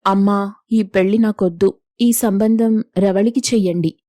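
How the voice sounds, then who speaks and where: A woman's voice: medium pitch, steady tone, measured pace, warm tone, no room sound, studio quality, natural voice. A woman playing a humble, self-sacrificing young village elder sister in a moral story, earnest and pleading. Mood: firm. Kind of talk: Telugu moral story dialogue.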